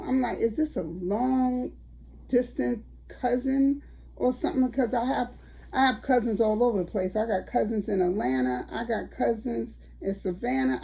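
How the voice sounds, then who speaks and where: An older woman tells a story to her viewers in a chatty, casual way. Her voice is raspy and gravelly, and it sits dark and mellow in tone. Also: on the high side, rough recording. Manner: chatty, addresses viewers as y'all. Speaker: vlogger.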